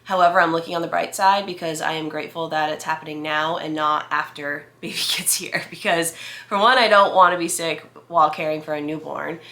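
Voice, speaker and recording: Woman, thirties, nasal and stuffy, short of breath, bright voice, echoey room, chatty and reassuring. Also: low-pitched, steady tone, brisk. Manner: chatty, reassuring, many fillers like "like" and "you know". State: congested, nasal from a cold. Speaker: vlogger. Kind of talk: casual talk to camera.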